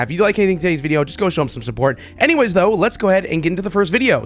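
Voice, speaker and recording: A man hosting a reaction video, talking casually to viewers with excited, repeated exclamations. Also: sits high. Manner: casual, repetitive exclamations, addresses viewers familiarly. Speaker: video host reacting to game clips.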